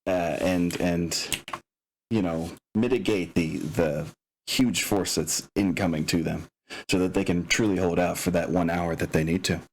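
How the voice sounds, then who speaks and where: A man talking casually and haltingly as game master, explaining the setup of a tabletop game session. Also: deep voice, steady tone, slight background noise. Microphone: open microphone picking up room sounds.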